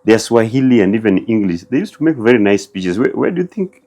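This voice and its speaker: A man's voice: on the low side, sing-song, fast talker, mellow. A man hosting an interview, asking curious, probing questions. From Kenya. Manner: curious, probing questions with frequent murmured agreement. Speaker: host. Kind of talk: interview conversation.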